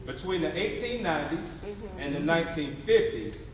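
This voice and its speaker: A man's voice: a little high, lively intonation, moderate pace, a crowd in the background. A man delivering an emphatic, preacher-like readers theatre oration on stage. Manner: emphatic, declamatory, preacher-like call and response. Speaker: stage narrator. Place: theatre stage before a responding audience. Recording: very echoey live hall, distant mic.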